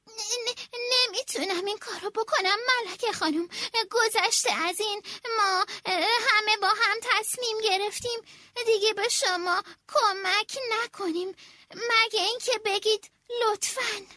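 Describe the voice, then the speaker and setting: Nervous, stuttering snail clerk with a bright, high voice; a woman performs it. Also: dry recording. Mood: flustered. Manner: stammering, nervous. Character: stuttering, flustered snail secretary.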